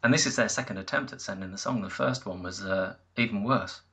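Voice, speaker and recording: A man on a panel giving blunt, critical opinions on songs in a measured, deliberate way. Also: fairly low pitch, a bit bright. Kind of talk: opinionated music commentary.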